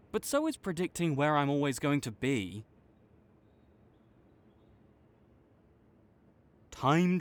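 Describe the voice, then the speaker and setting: A man playing a proud supervillain in a dramatic audio roleplay, grudging and exasperated, with a secret soft spot that makes him flustered. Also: medium pitch. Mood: bickering, incredulous. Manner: tsundere, grudging, exasperated, stammering when sincere.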